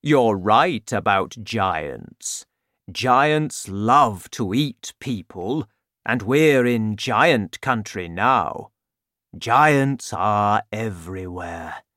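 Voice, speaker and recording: A man voicing a huge, gentle giant in a storybook reading, speaking slowly and loudly. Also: lively intonation, no echo, studio quality, full character voice. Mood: booming. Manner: slow, booming, deliberate.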